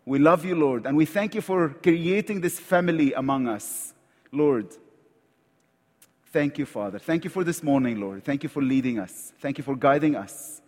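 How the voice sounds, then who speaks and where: A man with an Egyptian accent preaches in a church sanctuary, his voice sitting fairly high for a man. He speaks earnestly, often repeating a word as he reaches for the next phrase. The sound rings out with the long reverb of a large hall. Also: expressive, normal speed, darkish tone. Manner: earnest, repeats words while searching for phrasing. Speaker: visiting preacher. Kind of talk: sermon with prayer.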